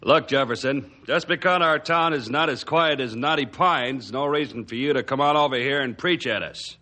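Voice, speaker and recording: An actor playing a scornful, corrupt small-town mayor, blustering and dismissive, in an old-time radio adventure. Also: male voice, medium pitch, normal speed, sharp tone, natural voice. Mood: irritated, dismissive.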